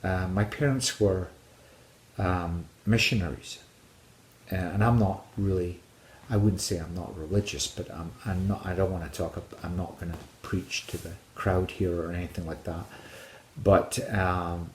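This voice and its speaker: A man from Scotland who vlogs about hiking, telling personal stories casually to his viewers in a rambling, halting way that often repeats phrases. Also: deep voice, fairly even intonation. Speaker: hiking vlogger. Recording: echoey room.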